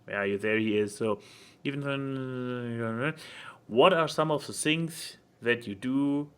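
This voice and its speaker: A man's voice: mid-range voice, slow, smooth. A man co-hosting a casual podcast over an online voice call, with a jokey, irreverent manner. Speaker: podcast co-host.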